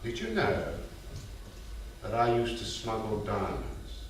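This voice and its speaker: Man acting elderly fond grandfather, echoing and distant. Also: fairly low pitch. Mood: teasing. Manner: unhurried storytelling with hesitant pauses and endearments. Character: elderly grandfather, raconteur former gambler, fond and wistful. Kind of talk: staged script reading. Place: theatre stage. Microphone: distant room mic. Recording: roomy echoing stage recording, distant mic, hiss.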